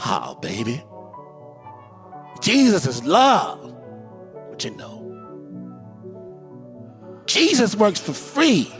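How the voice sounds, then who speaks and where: A man voicing a wise older grandmother who speaks slowly in a low, hushed, warning tone. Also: huge pitch swings, heavily acted. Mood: coaxing. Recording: long hall reverb, faint music.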